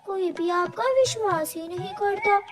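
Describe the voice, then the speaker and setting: A woman's voice: very high voice, unhurried. A woman voicing a young eaglet child in a cartoon, childlike and plaintive. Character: young eaglet child, pleading, stubborn and affectionate.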